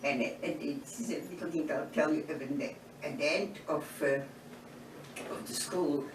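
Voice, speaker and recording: A woman, from eastern Poland, giving oral history testimony in an unhurried storytelling way, often restarting her phrases. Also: deep voice, fairly even intonation. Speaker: Holocaust survivor interviewee. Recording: roomy, distant mic, background noise.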